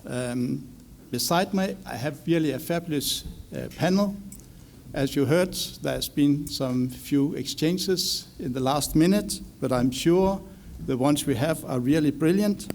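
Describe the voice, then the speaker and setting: A man's voice: fairly high pitch, measured pace, vocal fry, a little hiss or hum. Older Danish man moderating a panel. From Denmark. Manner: relaxed, self-joking, hesitant with fillers.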